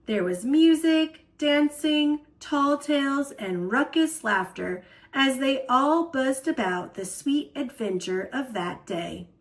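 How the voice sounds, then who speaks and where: A woman storyteller reading a children's picture book aloud in an expressive style. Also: high voice, slow pace, few pauses.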